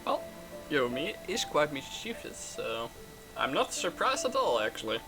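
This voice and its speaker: A man's voice: a little high, big pitch swings, normal speed, rough recording. A man giving casual, amused commentary while playing a video game, full of wry asides. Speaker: gaming streamer reacting to a game. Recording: game music clearly behind voice.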